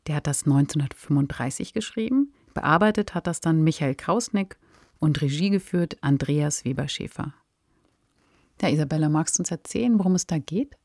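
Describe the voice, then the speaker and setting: Lady podcast host, deep voice for a woman, chatty presenting, dry studio sound. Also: animated, moderate pace, darkish tone, no echo. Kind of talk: conversational podcast introduction.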